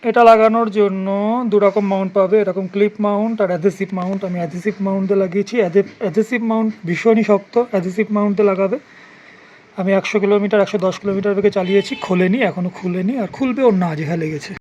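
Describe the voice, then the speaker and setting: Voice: medium pitch, steady tone, very fast, darkish tone, highs cut off. A vlogger giving a casual hands-on product review, explaining things informally and offering practical advice to the viewer. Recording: clearly noisy ambient background.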